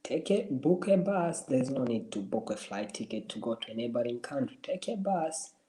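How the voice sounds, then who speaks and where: Man, livestream host, mellow warm tone, encouraging, repeats himself a lot. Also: medium pitch, sing-song, moderate pace, low-quality recording. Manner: encouraging, repeats and restarts phrases. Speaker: livestream host answering viewers. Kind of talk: casual livestream advice.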